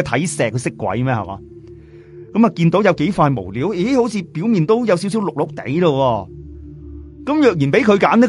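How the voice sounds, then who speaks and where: A man narrating a serial novel in a colloquial, chatty way, often putting questions to listeners. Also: medium pitch. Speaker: audiobook narrator. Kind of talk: animated oral storytelling of a serial novel. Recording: music behind the voice.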